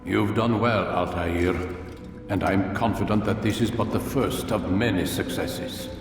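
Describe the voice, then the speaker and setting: An actor playing a stern, measured older master of assassins in a video game, speaking formally and deliberately, as if lecturing. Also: male voice, low voice, recorded from a distance, a little hiss or hum. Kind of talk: video game cutscene acting. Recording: very echoey hall, music behind.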